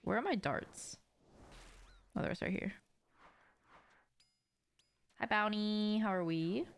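A woman's voice: mid-range voice, slow, creaky. A young woman game streamer chatting casually with her viewers while playing, informal, slangy and prone to swearing. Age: young adult. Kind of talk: casual livestream chat with viewers while gaming. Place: indoors, small home room with shelves.

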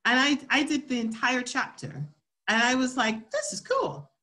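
A woman's voice: sits high, big pitch swings, normal speed, pushed and bright. An American woman giving an informal autobiographical talk, reflective and feeling her way through short, repeated phrases. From Florida, southern United States. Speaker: scientist and research leader giving a talk.

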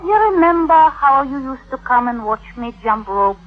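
Poised, high-voiced young Victorian wife. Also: female voice, expressive, moderate pace. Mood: coaxing. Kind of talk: 1950s radio drama acting. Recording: dull vintage 1950s radio recording.

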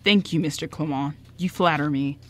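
A woman playing an earnest, polite young man in an amateur radio drama, speaking deliberately. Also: deep voice, fairly bright tone. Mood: polite, eager.

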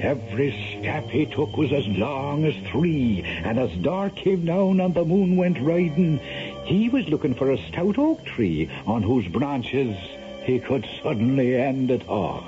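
A man narrating a 1970s radio drama as a dramatic storyteller. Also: mid-range voice. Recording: muffled old radio broadcast with music.

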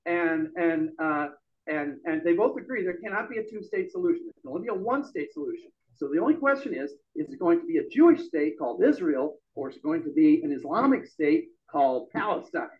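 Middle-aged guy with a loud high voice ranting at an open mic in a bar, kinda dull lo-fi sound. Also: lively intonation, fairly quick. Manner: loud, emphatic, gesturing. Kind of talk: public forum speech. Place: bar room with a small audience. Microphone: stand mic.

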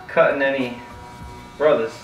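A young man hosting a comedy barbershop show, teasing his guest with deadpan jokes as he cuts hair. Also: medium pitch, measured pace, highs cut off. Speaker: host and barber. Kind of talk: joking comedy banter. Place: small barbershop, indoors. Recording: echoey room from far off with music.

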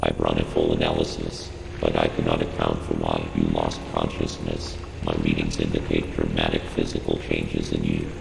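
Ship computer for a sci-fi game: profoundly low, heavily creaky, calm and formal adviser to a bounty hunter. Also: male voice, noisy background, exaggerated voice. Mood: calm, detached, advisory. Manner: measured, clipped, formal, analytical. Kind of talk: scripted video game briefing. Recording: echoey long reverb under music.

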